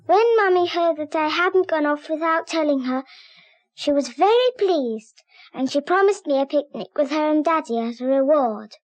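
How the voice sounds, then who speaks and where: A woman's voice: high-pitched, expressive, normal speed, dry recording, low-quality recording. A woman voicing an earnest young child who narrates a scripted safety cartoon. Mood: earnest, simple.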